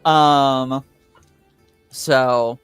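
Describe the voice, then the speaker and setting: A man improvising tabletop game narration as game master, with a hesitant, halting delivery. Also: mid-range voice, fairly even intonation, fairly bright tone, clear, resonant tone, soft background music.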